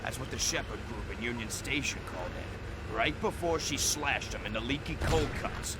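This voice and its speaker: A man's voice: mid-range voice, normal speed, heavy background noise, close to an everyday voice. A man playing a dim, chatty henchman in a video game, rambling in slangy talk. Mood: awed gossip. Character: jumpy, chatty, dim male henchman lying in ambush. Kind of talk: scripted video game acting.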